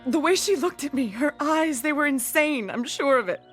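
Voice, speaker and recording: Frightened, fragile teenage daughter, very high voice, halting and emotional, for radio drama. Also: female voice, animated, fairly bright tone, soft background music. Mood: terrified. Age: young adult. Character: terrified eighteen-year-old daughter, fragile and shaken.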